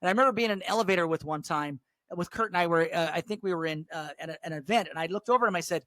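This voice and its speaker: A man with a notably high voice talks like the seasoned radio host he is, rambling through stories with quick restarts. The sound is dry and close, with no room around it. He is middle-aged and relaxed in conversation. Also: no echo. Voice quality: higher voice. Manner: chatty, storytelling, frequent restarts. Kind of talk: casual chat.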